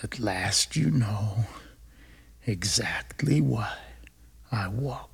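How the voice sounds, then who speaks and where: A performer voicing a weary, limping parent from a storybook poem in a slow, hushed, ominous drawl. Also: mid-range voice, expressive, creaky, slightly rough, voice pushed down, heavily acted.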